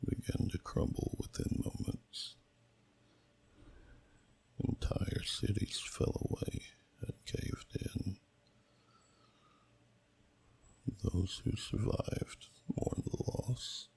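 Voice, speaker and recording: A man voicing an ancient, solemn dragon who slowly tells a story in a deep, gravelly, breathy half-whisper, in ASMR style. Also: a little mellow, exaggerated voice. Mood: grave, mournful. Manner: slow, solemn, deliberate, archaic phrasing. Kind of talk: ASMR storytelling, scripted narration.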